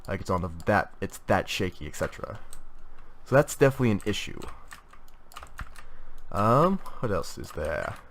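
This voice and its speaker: A young man with a cold talks through his complaints about gaming gear, his voice stuffy and sitting a little low. He rambles casually, full of fillers and false starts. Keyboard typing is heard behind him. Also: big pitch swings, moderate pace, pauses often, slight background noise. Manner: rambling, many fillers and restarts. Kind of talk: casual product review talk.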